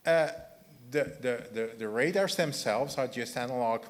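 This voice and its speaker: A man with a Dutch accent giving a technical talk and answering questions as a conference speaker, halting and often repeating his words. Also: medium pitch. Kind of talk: technical presentation and audience Q&A.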